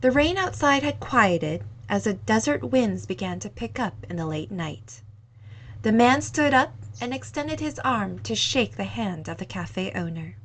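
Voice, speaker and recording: A woman reading a short story aloud in a measured, gentle storytelling manner. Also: a little high, lively intonation, poor audio. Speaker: reader. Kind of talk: scripted narration of a short story.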